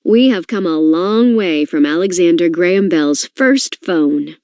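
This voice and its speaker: A woman reading an educational book aloud in an expressive, clearly phrased way. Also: fairly low pitch, a little slow, no echo. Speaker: reader of a children's nonfiction book. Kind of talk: educational read-aloud narration.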